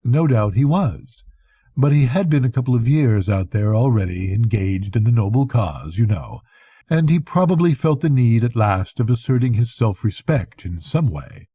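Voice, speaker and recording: An older man, a grandfather, reading a literary bedtime story aloud in an unhurried, conversational storytelling manner with a husky voice. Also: medium pitch, very animated, mellow, dry recording, clean studio sound. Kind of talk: expressive literary audiobook reading.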